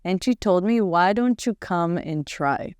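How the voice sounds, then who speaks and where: A woman's voice: fairly low pitch, animated, measured pace, a little mellow, clear, resonant tone, no room sound, studio quality. A woman in her twenties, raised in Canada after a childhood in Bulgaria, telling her story as a guest in a casual interview. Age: 20s. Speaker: guest, pageant winner.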